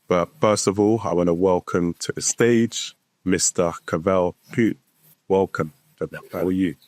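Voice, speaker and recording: Host: man, deep voice, warm casual interviewer tone, dead-dry studio sound. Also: a little slow, no echo. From London, England. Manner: friendly and encouraging, casual slang like "bro". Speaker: podcast host, counsellor. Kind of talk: hosting a conversational interview.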